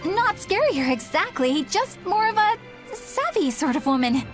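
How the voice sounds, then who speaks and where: A righteous young woman exorcist in a video game. Her voice is high, with formal, sincere and proper phrasing, over light music. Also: sing-song, measured pace, heavily acted. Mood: flustered.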